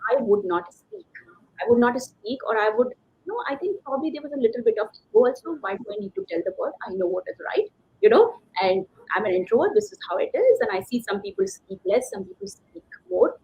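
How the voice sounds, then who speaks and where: A woman's voice: a little high, big pitch swings, quick pace, gravelly. A woman giving emphatic, conversational motivational advice as a coach being interviewed. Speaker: career coach, interview guest. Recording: slightly dull lo-fi call audio.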